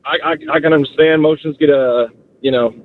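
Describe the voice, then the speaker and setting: A man's voice: on the high side, steady tone, fast talker, phone-quality sound, a little hiss or hum. A man calling into a sports talk show, hesitant and restarting his sentences. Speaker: caller.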